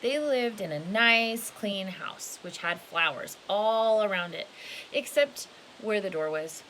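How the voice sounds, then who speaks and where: Expressive woman reading a picture book, faint hiss. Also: mid-range voice, poor audio. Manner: expressive, drawn-out key words with casual asides. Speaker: storybook reader. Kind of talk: children's picture book read-aloud. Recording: hissy home recording with background noise.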